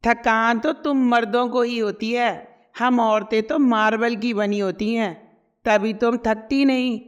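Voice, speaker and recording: A woman's voice: a little high, steady tone, a little slow, smooth, muffled recording, lightly acted. A woman voicing a stern, protective middle-aged mother-in-law who scolds and commands. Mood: angry.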